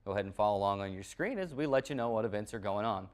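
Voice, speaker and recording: A man's voice: lowish voice, lively intonation, racing. An American man presenting a college sports recap show as its host, in the manner of a play-by-play announcer.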